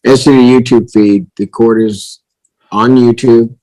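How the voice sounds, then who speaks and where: A man's voice: mid-range voice, fairly even intonation, measured pace, mellow, low-quality recording. A man speaking as a judge in a courtroom, giving formal rulings in a firm, authoritative manner. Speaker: judge presiding over a hearing.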